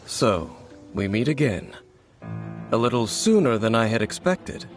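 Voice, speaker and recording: An actor playing a calm, measured male swordsman in a video game, speaking in a composed, serious way. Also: medium pitch, soft background music.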